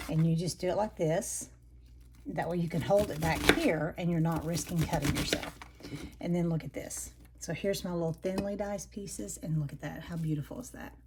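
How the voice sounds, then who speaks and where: A woman, hosting a home cooking lesson with a chatty step-by-step manner; her voice is raspy from allergies and she breathes heavily. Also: on the low side, darkish tone, slight background noise. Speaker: home cooking channel host. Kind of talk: casual cooking demonstration. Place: home kitchen.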